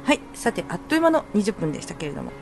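Female radio show navigator, mid-pitched, lively, polite chatty hosting. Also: rapid-fire, creaky. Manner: polite, conversational. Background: music.

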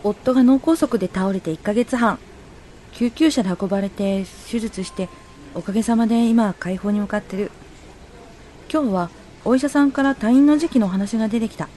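Woman acting a polite, worried wife, fairly high-voiced. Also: moderate pace, mellow, slight background noise, close to an everyday voice, poor audio. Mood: reflective. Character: polite, worried working wife of a hospitalised husband. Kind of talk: amateur radio drama acting.

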